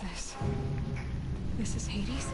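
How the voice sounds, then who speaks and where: An actress playing a defiant young warrior heroine in a video game, wry, spirited and sarcastic. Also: female voice, mid-range voice, very slow, loud music behind the voice. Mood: alarmed. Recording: loud game soundtrack and effects.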